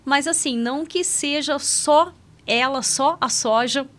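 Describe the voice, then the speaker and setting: A Brazilian woman with a fairly high voice speaks as an expert podcast guest. She explains in an informal, conversational way, often ending a thought with a small tag question. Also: unhurried, fairly bright tone. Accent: Brazilian Portuguese. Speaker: podcast guest, pediatric endocrinologist.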